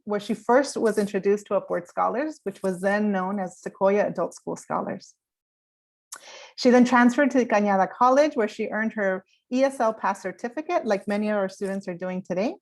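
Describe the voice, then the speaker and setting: Woman host, virtual ceremony, conversational and chatty. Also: mid-range voice. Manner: warm, chatty, with fillers. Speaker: program host. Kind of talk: hosting a virtual graduation ceremony.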